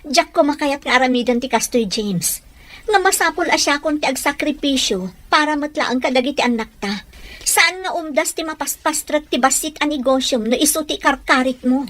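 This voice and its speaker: A woman's voice: high-pitched, moderate pace, a bit bright. A woman with a Filipino accent playing a frustrated, defiant wife in a radio soap drama. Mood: angry, defiant.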